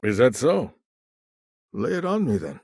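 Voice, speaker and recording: A man acting a shrewd, self-assured businessman in a video game, with a wry, smooth-talking manner. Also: medium pitch, huge pitch swings, a little slow, darkish tone, no room sound, studio quality, exaggerated voice.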